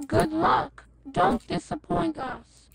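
A woman's voice: very high voice, slow pace, creaky, no echo, full character voice, rough recording. A woman playing an ominous game master who gives formal, commanding announcements in a scripted drama.

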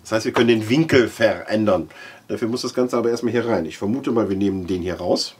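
A middle-aged man with a husky voice, giving a casual, halting product demonstration as he handles the parts. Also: lowish voice. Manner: halting, thinking aloud while handling parts. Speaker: aquarium product reviewer. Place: indoor room with large aquarium.

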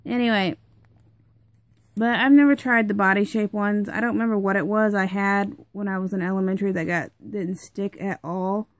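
A woman's voice: medium pitch, fairly even intonation, moderate pace, dry recording, studio quality. A young woman reviewing a product at home, chatty and rambling with lots of fillers, her voice stuffed up from congestion.

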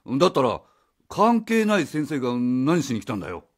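A man's voice: mid-range voice, big pitch swings, normal speed, slightly rough. A man playing a flustered, evasive, cheeky father who drives a taxi, stammering and blustering in a radio drama. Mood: defensive, sarcastic. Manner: stammering, blustering, casual speech. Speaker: voice actor.

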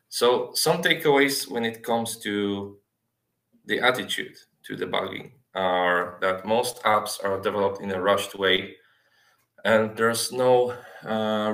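Man, lowish pitch, technical presenter, hesitant delivery, many filled pauses, echoey room sound. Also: fairly bright tone. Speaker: software developer giving a talk. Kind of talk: technical conference presentation over slides. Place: small plain indoor room, on a video call. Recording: roomy, echoey webcam sound.

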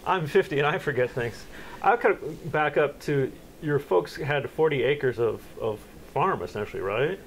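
A man's voice: fairly high pitch, expressive, normal speed, a little hiss or hum, rough recording. A man of fifty interviewing his elderly father, asking short prompting questions with light teasing. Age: 50s. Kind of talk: oral history interview.

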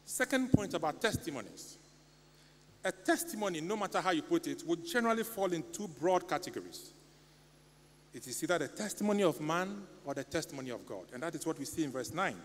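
A man's voice: fairly high pitch, brisk. A middle-aged man from Ghana with a Ghanaian English accent, preaching a sermon from the pulpit in an earnest, pleading manner. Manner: earnest, pleading, animated hand gestures. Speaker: lay preacher. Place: church sanctuary. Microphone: stand mic at pulpit. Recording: long church reverb, faint hum.

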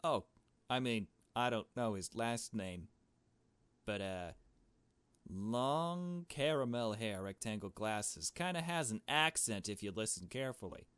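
A man reads as a shy young woman in a visual novel, on the low side in pitch. She is soft-hearted and unsure, feeling her way through sentences. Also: expressive, measured pace, smooth, no echo. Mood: hesitant. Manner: hesitant, questioning.

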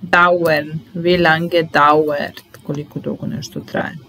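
A young woman teaching German vocabulary in a slow, deliberate drill, saying each word and repeating it calmly. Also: sits low, slight background noise, rough recording. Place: small indoor room at a desk.

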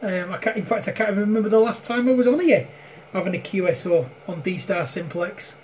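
High-pitched matey man, faint noise. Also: fairly quick, darkish tone. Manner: matey, informal banter. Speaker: amateur radio operator filming a contact. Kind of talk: casual radio chat.

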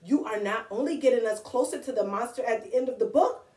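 A woman's voice: fairly high pitch, fast talker, dark tone, natural voice. A woman voicing a frantic, fearful male puppet monster from a storybook, melodramatic and pleading. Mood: exasperated. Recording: echoey room, distant from microphone.

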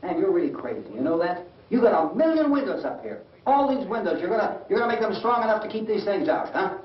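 A man's voice: high-pitched, big pitch swings, fast talker. An actor playing a stubborn, combative, frightened middle-aged American family man in an old horror film, arguing insistently in a tense voice. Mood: agitated, insistent. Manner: argumentative, insistent, repeats himself. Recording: dull, echoey old film soundtrack, distant.

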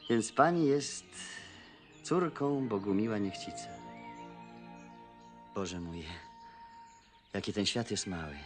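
An actor playing a courteous, eager young Polish gentleman in a period television drama, speaking politely and with animation. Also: fairly low pitch, extremely slow, pauses often, music in the background. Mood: effusive. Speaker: landowner's son.

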